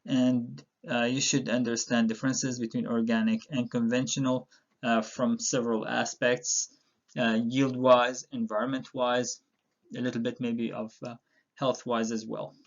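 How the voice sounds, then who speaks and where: A middle-aged man from Palestine introducing an online university course as its instructor, with a relaxed delivery full of "uh" and "um". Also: medium pitch, unhurried, lo-fi sound. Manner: relaxed, with frequent "uh" and "um" fillers.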